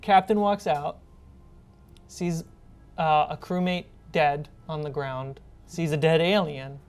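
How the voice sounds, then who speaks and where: A woman's voice: low-pitched, steady tone, slow, a little mellow, smooth. A woman running a tabletop role-playing game as game master, narrating scenes and answering players. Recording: background music.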